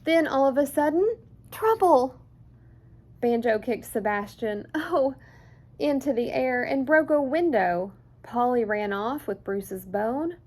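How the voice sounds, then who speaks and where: A woman reading a children's picture book aloud in an expressive, deliberate storyteller's way. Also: high voice. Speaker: children's story time host.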